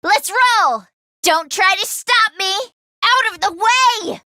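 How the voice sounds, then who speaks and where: A woman's voice: extremely high pitch, takes it slowly, bright, forceful tone, full character voice. A woman voicing a plucky, earnest teenage girl mechanic in a video game, speaking emphatically and easily flustered. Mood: determined, spirited. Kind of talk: video game voice acting, battle barks.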